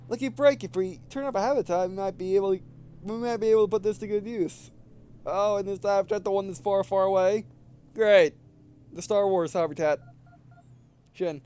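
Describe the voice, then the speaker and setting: A man in his twenties giving casual, halting let's play commentary, often repeating phrases as he goes. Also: sits high, darkish tone, smooth. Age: 20s. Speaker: gaming video host. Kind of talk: casual let's play commentary, reading game text aloud.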